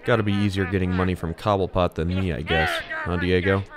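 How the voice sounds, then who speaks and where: Man as deep-voiced, dry teenage hero. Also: over a noisy crowd, natural voice. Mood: calm, firm. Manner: measured, dry, deadpan. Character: calm, self-assured, dry teenage male hero. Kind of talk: audio drama acting.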